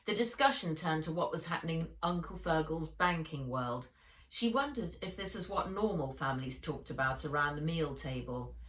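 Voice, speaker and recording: Woman narrator, low pitch, unhurried, expressive children's audiobook reading, roomy echo, distant mic. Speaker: author reading own book aloud.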